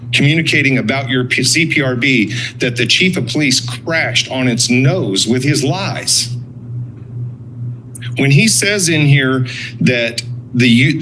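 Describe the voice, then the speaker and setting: A man's voice: mid-range voice, a bit bright, slight background noise, low-quality recording. A blunt, sarcastic man giving confrontational public comment at a meeting, swearing and accusing.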